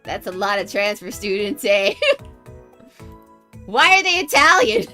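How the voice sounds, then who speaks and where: A woman livestreamer reading game narration aloud with casual, animated, jokey commentary. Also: mid-range voice, slow, soft background music. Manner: animated, reactive, jokey exclamations.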